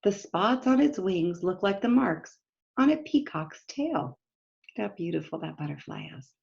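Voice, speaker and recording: A woman reading a picture book aloud to young children like a teacher, pausing to explain simply and ask them questions directly. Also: mid-range voice, animated, vocal fry, a little mellow, lo-fi sound. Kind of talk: read-aloud story time lesson for young children, with explanatory asides.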